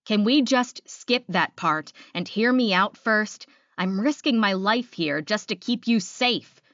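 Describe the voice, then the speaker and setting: A woman's voice: lowish voice, measured pace, a bit bright, no room sound. A pleading werewolf man as a female narrator reads him explains himself apologetically. The audiobook recording is dry and slightly dull. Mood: pressed.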